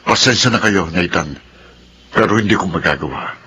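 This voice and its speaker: An actor playing an earnest, steadfast middle-aged Filipino suitor in a radio drama. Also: male voice, low voice, lively intonation, normal speed, sharp tone, slight background noise. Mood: earnest, firm.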